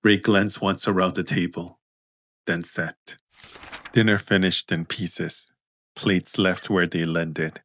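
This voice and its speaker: A man narrating scripted fiction as an audiobook, in a measured, understated manner. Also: low voice, steady tone, a bit bright. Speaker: author and narrator.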